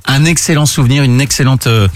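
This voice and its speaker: A man's voice: mid-range voice, a little fast, no echo. A man in his fifties, a former host back as a guest, joking and mocking himself in casual radio banter. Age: 50s. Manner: jokey, self-mocking.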